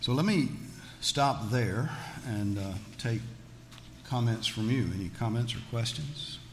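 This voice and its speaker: A man's voice: medium pitch, unhurried. A man teaching scripture to an audience in a folksy, conversational way, with joking asides. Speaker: Bible teacher. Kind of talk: religious teaching with audience questions. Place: large echoey room with an audience. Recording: echoey hall with background noise.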